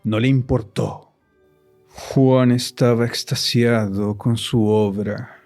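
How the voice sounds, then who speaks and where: A man with a Chilean Spanish accent narrating a horror story in a slow, ominous way, breaking into sinister laughs. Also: lowish voice, a little mellow. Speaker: guest co-narrator.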